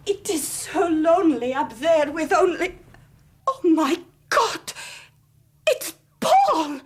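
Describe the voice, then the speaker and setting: A woman's voice: very high-pitched, expressive, slow pace, full character voice. An actress playing a frightened, lonely young woman in a radio drama, pleading and anguished. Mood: pleading, shocked.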